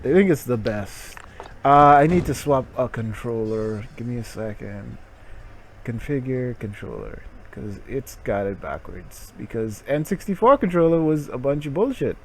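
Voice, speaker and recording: A man's voice: medium pitch, very animated, normal speed, a little hiss or hum. A man hosting a game livestream in a theatrical, mock-grandiose way, with muttered, sweary asides. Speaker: livestream host. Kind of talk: theatrical game-stream commentary.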